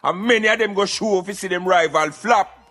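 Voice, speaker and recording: A man's voice: high voice, fairly quick, sharp tone. A Jamaican man giving opinionated conversational commentary on a radio show, in patois with emphatic interjections.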